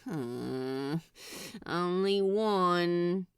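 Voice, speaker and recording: A woman's voice: lowish voice, lively intonation, very slow, clear, resonant tone, dry recording, clean studio sound, pitched below the performer's own voice, full character voice. A woman voicing a sly, exasperated male demon who drawls and hisses urgent orders in a story reading. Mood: dismayed.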